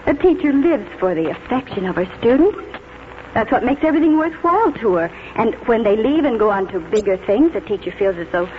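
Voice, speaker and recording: An actress playing a kindly, reminiscing spinster schoolteacher in a 1940s radio drama, speaking tenderly and precisely. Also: female voice, fairly high pitch, animated, brisk, a little hiss or hum, natural voice. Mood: gentle. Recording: tinny 1940s radio, loud music behind.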